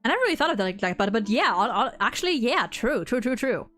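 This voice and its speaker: A woman's voice: fairly high pitch, very animated, fast, fairly bright tone, faint music behind. A woman streamer chatting casually and informally, rambling through her opinions and swearing freely. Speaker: streamer hosting a game story ranking discussion. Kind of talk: casual chat.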